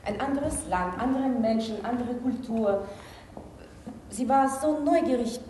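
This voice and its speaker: Actress doing young turkish migrant woman, curious fun-loving, highish voice, echoey theatre monologue. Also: unhurried, crowd noise, close to an everyday voice. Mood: reflective. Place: theatre stage with audience. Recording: very echoey theatre, clear noise.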